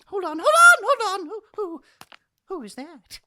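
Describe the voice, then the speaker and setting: Excitable comic villain, very high and wildly swooping, indignant, theatrical, audio-drama style. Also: male voice, heavily acted. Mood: flustered. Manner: melodramatic, indignant, halting. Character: excitable, theatrical male villain imprisoned for ludicrous crimes.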